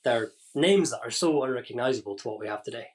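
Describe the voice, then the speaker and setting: Scottish man, podcast guest, talks through history, halting with fillers, dry sound. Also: medium pitch, expressive. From northeast Scotland. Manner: explains at length with many fillers and restarts. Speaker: guest expert on Pictish history. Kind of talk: casual podcast interview.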